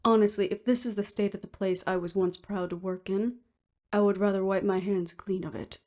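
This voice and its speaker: A woman's voice: medium pitch, steady tone, brisk, a little mellow, lightly acted. A woman acting in an audio roleplay as an earnest, weary official who speaks formally and seriously. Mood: weary, resolute.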